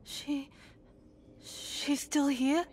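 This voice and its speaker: An actress playing a frightened, traumatized prisoner in a video game, timid and shaken, speaking hesitantly and stammering. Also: female voice, high-pitched, steady tone, extremely slow, background noise. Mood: fearful, shaken. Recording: loud game music behind. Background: music and game sounds.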